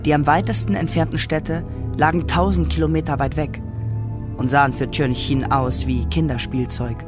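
A man's voice: fairly high pitch, steady tone, normal speed. A man narrating a radio drama from a script. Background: music.

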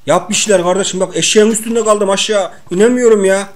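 A man's voice: high-pitched, steady tone, brisk. A man playing a video game and chatting in casual slang with a co-player, exclaiming and repeating short phrases.

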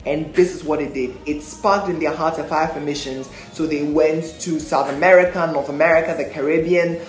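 Animated young man hosting church history. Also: on the high side, normal speed, roomy sound, soft background music. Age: young adult. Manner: animated, gestures a lot, asks the viewer questions. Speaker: church history video host. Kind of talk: energetic church history explainer. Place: small indoor room, plain wall.

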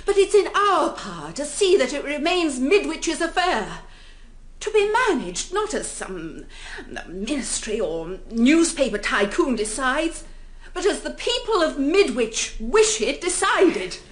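Radio drama: resolute village woman, formal rallying delivery, high and animated. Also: measured pace. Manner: formal, speech-making.

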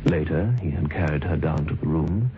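An actor as a grave, suspenseful narrator of an old radio drama. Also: male voice, a bass voice, moderate pace. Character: grave, suspenseful male storyteller. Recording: dull old radio recording, music behind.

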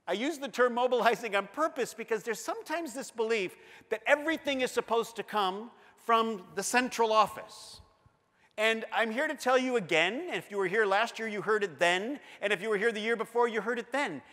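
A man's voice: extremely high pitch, bright, forceful tone. A man in his fifties with a Canadian accent, giving an earnest, emphatic conference keynote as a school board director on a lapel mic in a large hall. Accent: Canadian English. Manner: earnest, emphatic, gestures while pacing the stage.

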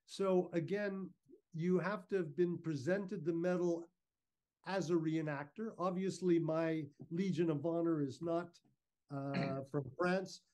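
A man giving an informal, measured, explanatory slide talk over a video call. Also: a little high, fairly even intonation, dark tone. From Canada. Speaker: guest speaker, historical reenactor. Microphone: video call microphone.

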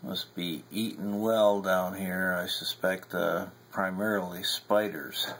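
A man's voice: low voice, fairly even intonation, a bit bright, a little hiss or hum. A man from upstate New York talking casually and folksily while filming in his cool basement on a handheld camera. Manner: folksy, unhurried, with frequent fillers. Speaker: home videographer. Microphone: handheld camera mic.

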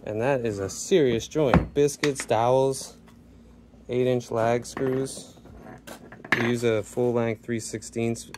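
A man casually talking through a carpentry build as he works, chatty and relaxed. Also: medium pitch, takes it slowly, background noise. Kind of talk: casual build vlog narration. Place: workshop and home interior.

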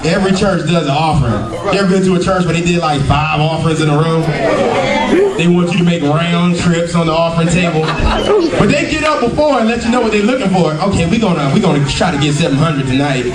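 High-pitched American male comedian, echoey church hall. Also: quick pace, over a noisy crowd. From United States. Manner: animated, colloquial storytelling. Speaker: stand-up comedian. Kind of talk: stand-up comedy storytelling to a church audience. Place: indoors in a church sanctuary. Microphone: handheld mic through speakers, picked up by far camera. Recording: echoey church hall, distant camera, loud audience. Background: loud laughing audience.

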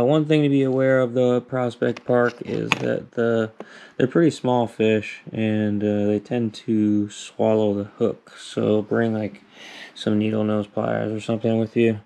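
A young man casually narrating his own vlog, relaxed and rambling with plenty of fillers, recorded on an action camera at home and outdoors by a lake. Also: mid-range voice, vocal fry. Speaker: vlogger. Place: apartment indoors, then outdoor park by a lake.

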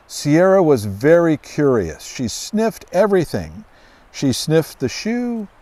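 A middle-aged man reading a children's storybook aloud outdoors in a deliberate, expressive way. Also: a little high, no echo. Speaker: guest author reading own children's book. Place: outdoors on a grassy lawn by trees.

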